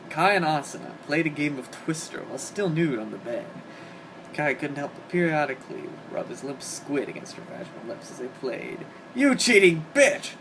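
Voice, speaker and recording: A man giving a dramatic reading of comic fan fiction. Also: medium pitch. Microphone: home microphone on an internet call. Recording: hobby voice-call recording, clear background noise.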